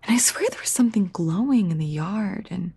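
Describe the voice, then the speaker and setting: A woman's voice: mid-range voice, lively intonation, fairly quick, darkish tone, breathy edge. A woman playing a defiant, sensitive schoolgirl who is new in town, in an audio drama, with an earnest, hesitant delivery. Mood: hesitant, wistful.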